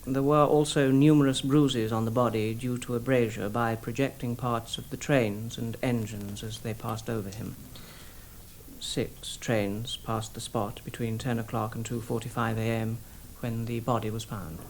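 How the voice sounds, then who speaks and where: A man's voice: mid-range voice, flat delivery, moderate pace, a little hiss or hum, lightly acted, low-quality recording. A man playing a formal witness at an inquest in a radio drama, giving evidence precisely and clinically. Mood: factual, formal. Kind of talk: British radio drama testimony.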